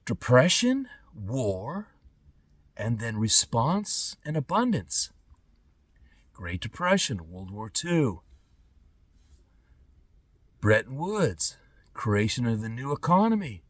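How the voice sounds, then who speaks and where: A middle-aged man speaking an unscripted podcast monologue in emphatic, halting phrases with pointed pauses. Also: medium pitch, sing-song, no room sound, distant mic. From born in Trinidad and Tobago, lived in California. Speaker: podcast host.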